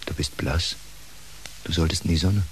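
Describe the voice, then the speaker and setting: An actor playing a weary, disapproving doctor in a radio drama, speaking in a terse, stern way. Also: male voice, sits low, fairly even intonation, normal speed, a little hiss or hum, close to an everyday voice, poor audio. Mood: reserved concern. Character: disapproving, weary male doctor, reserved and blunt.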